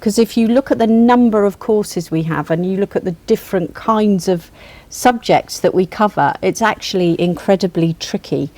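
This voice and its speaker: A woman on the university's senior team answering student questions on a panel, measured and reassuring, often restarting her sentences. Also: medium pitch, darkish tone.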